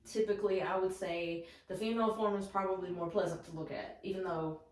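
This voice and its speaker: A woman's voice: medium pitch, steady tone, a little fast, darkish tone. A woman in her early thirties co-hosting a podcast, talking frankly in a casual chat. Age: 30s. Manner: frank, candid talk. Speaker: podcast co-host. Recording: very echoey room, mic at a distance.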